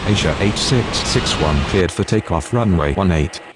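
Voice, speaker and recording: Deep sober man playing aviation radio voice. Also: lively intonation, moderate pace. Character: adult male pilot and controller, sober, procedural. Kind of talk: clipped aviation radio phraseology. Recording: faint outdoor vehicle noise.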